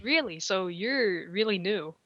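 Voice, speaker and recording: A woman's voice: medium pitch, animated, unhurried, dry recording, muffled recording. A woman hosting a casual podcast, rambling and self-deprecating, laughing often. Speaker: podcast host. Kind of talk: casual chat.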